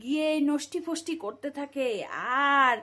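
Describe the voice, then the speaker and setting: Woman as a high-voiced gossiping cartoon auntie. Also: sharp tone. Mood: scandalised gossiping. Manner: gossipy, drawn-out colloquial address. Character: nosy gossiping village auntie, scandal-hungry neighbour. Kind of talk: cartoon comedy dialogue.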